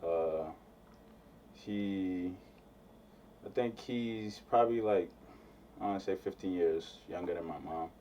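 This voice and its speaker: A young man raised in Nigeria answering interview questions in a laid-back, halting way with many fillers. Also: low voice, warm tone. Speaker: guest musician. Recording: distant, slightly muffled mic with faint background noise.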